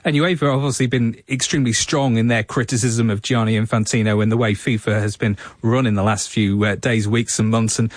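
A man's voice: lowish voice, steady tone, fairly quick, hardly any pauses, no echo. Male news interviewer, dry sound. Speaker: broadcast journalist interviewing a guest. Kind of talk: measured news interview questions.

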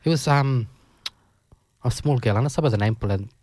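A man's voice: medium pitch, normal speed, a little mellow, no echo. A man co-hosting a podcast, telling stories casually with many fillers and hesitations. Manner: hesitant, filler-heavy rambling storytelling. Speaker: podcast co-host. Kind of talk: casual chat.

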